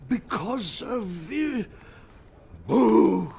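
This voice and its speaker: An actor playing a gruff, plain-spoken Polish man with a gravelly voice in a video game. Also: high voice, animated, very slow, a little mellow, heavily acted. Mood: resigned.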